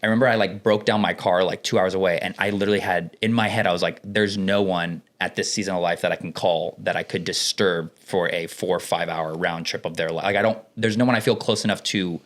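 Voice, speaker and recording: A man's voice: low voice, fast, few pauses. A young man from the Dominican Republic, telling stories with enthusiasm in a casual podcast conversation. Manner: enthusiastic, expressive storyteller. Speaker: podcast co-host.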